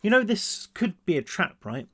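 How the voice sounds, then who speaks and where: A man's voice: high-pitched, sing-song, normal speed, sharp tone, husky, voice pushed up, exaggerated voice. A man reading aloud, voicing a skeptical teenage boy who doubts the plan. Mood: doubtful.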